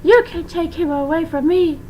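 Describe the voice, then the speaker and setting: Someone doing a high-pitched, defiant female fox animatronic. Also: steady tone, moderate pace, a little mellow, slight background noise. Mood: sad, defiant.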